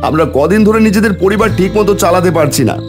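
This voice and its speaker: A man acting as a dramatic, expressive storyteller and village father in a horror cartoon. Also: high-pitched, quick pace, close to an everyday voice. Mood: pleading, scolding. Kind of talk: dramatic animated story narration and dialogue. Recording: music behind the voice.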